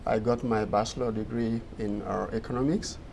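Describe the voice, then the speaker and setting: A man in his thirties from Guinea, a native French speaker, answering interview questions in an earnest, measured way. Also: on the low side, fairly even intonation, creaky, darkish tone, slight background noise. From Guinea, West Africa. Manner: earnest, measured, laughs easily. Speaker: guest.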